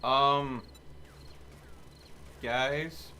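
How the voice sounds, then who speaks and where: A man's voice: mid-range voice, steady tone, very slow, sharp tone. A man chatting casually with teammates as he streams video gameplay. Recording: constant game noise behind voice.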